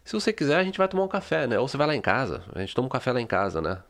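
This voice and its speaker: A man's voice: mid-range voice, big pitch swings, a bit bright. A man from Brazil co-hosting a podcast, telling stories in casual chat with lots of é and sabe. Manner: rapid storytelling, frequent é and sabe. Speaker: podcast co-host.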